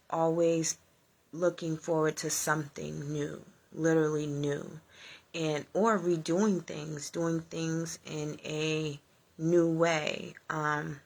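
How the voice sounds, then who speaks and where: A woman whose first language was Spanish talks to her viewers in a loose, rambling livestream. Her voice sits low and carries a gravelly fry, yet its tone stays bright and forward. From United States, Honduran family. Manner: rambling, chatty, many fillers. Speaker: livestream host. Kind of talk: casual reflective live chat.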